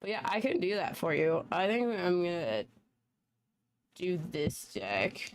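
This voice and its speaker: A woman's voice: lowish voice, sing-song, measured pace. A young woman who is losing her voice, chatting casually and laughing often as she hosts a live tarot reading stream. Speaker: tarot reader and livestream host. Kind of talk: casual livestream chat.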